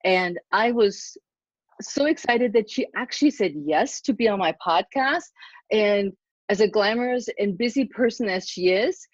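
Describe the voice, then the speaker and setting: A woman's voice: medium pitch, a little slow, no room sound. Enthusiastic middle-aged woman hosting a podcast. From Germany. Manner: excited, effusive, gushing. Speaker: podcast host. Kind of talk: enthusiastic interview introduction. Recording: dull dry lo-fi sound.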